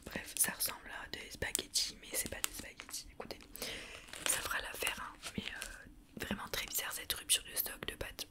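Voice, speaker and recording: Chatty woman in a whisper. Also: moderate pace. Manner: chatty, self-mocking, lots of fillers. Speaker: ASMR vlogger. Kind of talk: whispered ASMR grocery haul.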